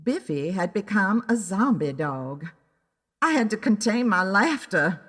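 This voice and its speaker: A woman playing a wry, easily annoyed private investigator who narrates her own story in a deliberate, dramatic way. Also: mid-range voice, big pitch swings, a bit bright, breathy edge, long reverb tail, heavily acted. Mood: wry, matter-of-fact. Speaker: narrator and lead character of an audio drama. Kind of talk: scripted first-person detective story narration.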